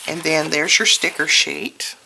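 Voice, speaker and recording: Hoarse, low-voiced woman, slow and chatty. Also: steady tone, sharp tone, poor audio. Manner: unhurried, chatty, commenting piece by piece. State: hoarse, recovering from illness. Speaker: host of a craft product video. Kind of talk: casual product showcase, talking while handling items. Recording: clear background noise, paper crinkling and clicks. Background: crinkling paper and packaging.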